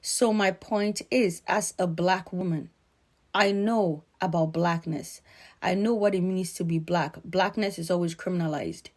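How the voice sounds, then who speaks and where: A middle-aged woman from Ghana giving earnest, measured, emphatic spoken commentary. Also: fairly low pitch.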